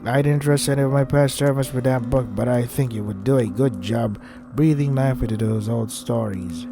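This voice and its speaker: A man voicing a sweet, pleading young princess in visual novel dialogue, soft-spoken and coaxing. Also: medium pitch, moderate pace, vocal fry, music in the background, natural voice.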